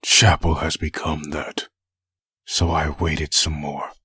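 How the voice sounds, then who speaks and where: A man playing a brooding undead antihero full of hatred in a comic dub, with a gravelly rasp and a grim monologue delivery. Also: a bass voice, flat delivery, pushed and bright, no room sound, clean studio sound, heavily acted. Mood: bitter, brooding. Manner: grim, slow internal monologue. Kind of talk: comic dub dramatic narration.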